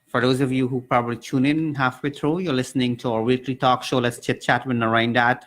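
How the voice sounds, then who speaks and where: An earnest man hosting a community radio talk show, speaking at a middling pitch. He rambles warmly through his thoughts, with plenty of fillers and restarts, into a studio microphone. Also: level tone, fairly quick. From Guyana. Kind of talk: live community radio chat.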